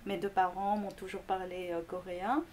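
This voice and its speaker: A woman who grew up in Greece, with Korean as her first language, explaining language learning as a linguistics lecturer in an interview, often pausing on fillers and restarting. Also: medium pitch, flat delivery, darkish tone, a little hiss or hum. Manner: hesitant, many fillers and restarts.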